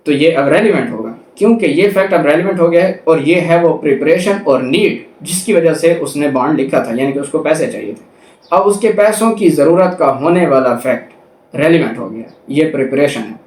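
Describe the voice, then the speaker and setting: A man's voice: a little high, darkish tone. A man with a Pakistani accent giving an explanatory law lecture, methodically repeating and rephrasing his points. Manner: switches between Urdu and English legal terms. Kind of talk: explanatory lecture with clauses read aloud. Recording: echoey room sound.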